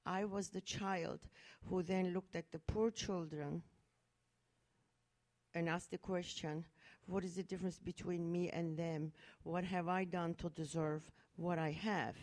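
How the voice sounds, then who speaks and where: A woman's voice: on the low side, fairly even intonation, measured pace, a little mellow. A middle-aged woman from Turkey telling a personal story in a public talk, earnest and reflective, with fillers and restarts. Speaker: speaker at a talk.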